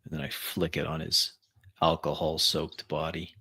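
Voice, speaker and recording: A man playing a tabletop roleplaying game, narrating his actions and speaking in character in an unhurried, deliberate way. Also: a bass voice, level tone, vocal fry, pushed and bright, husky. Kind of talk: improvised in-character roleplay and first-person narration.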